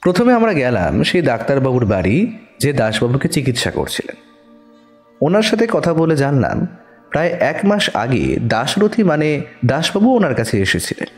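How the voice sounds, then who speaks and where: Narrator-sidekick: mid-pitched, very lively intonation, expressive mystery storytelling over music. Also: male voice, moderate pace. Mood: narrating. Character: detective's male companion, first-person narrator, puzzled.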